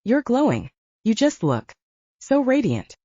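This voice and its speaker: A woman reads as a pregnant human heroine in an audiobook, earnest and careful. The voice is mellow with lively rises and falls, recorded dry. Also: a little high, a little slow, husky, dry recording, lo-fi sound, voice pushed up, exaggerated voice. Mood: admiring.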